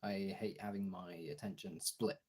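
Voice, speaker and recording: A young man streamer talking casually and frankly in a gravelly, husky voice, halting at times and swearing freely. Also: low-pitched, fairly even intonation, darkish tone. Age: young adult. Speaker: streamer and voice actor chatting to followers. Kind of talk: casual candid chat.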